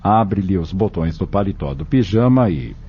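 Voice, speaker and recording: A man's voice: sits low, animated, normal speed, husky. A Brazilian man narrating an audio drama from a script. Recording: dull lo-fi audio with music behind. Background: music.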